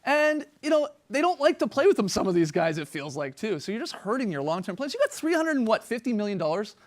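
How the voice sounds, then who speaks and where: A man's voice: high voice, sing-song, fast. A man hosting a studio sports talk show, playfully hamming up the intros like an emcee. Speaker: host.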